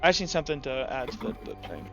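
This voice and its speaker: A man's voice: mid-range voice, big pitch swings, rapid-fire, gravelly. A man acting as game master, improvising narration and handling table talk in a tabletop roleplaying game. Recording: dull muffled audio, music behind.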